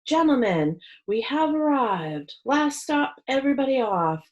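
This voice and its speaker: A woman's voice: sits high, very animated, unhurried, a little mellow, highs cut off, pitched above the performer's own voice. A smug, menacing thief from a children's story, acted out by a woman reader. He is bossy and gives his orders firmly, as someone used to being obeyed. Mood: mock-polite, loud.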